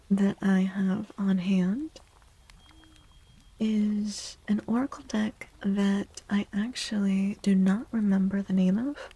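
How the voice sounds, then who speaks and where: A woman speaking softly and breathily in a gentle, unhurried near-whisper, chatting as an ASMR livestream host and often softly repeating phrases. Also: mid-range voice, low-quality recording. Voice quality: creaky. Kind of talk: soft-spoken ASMR livestream chat.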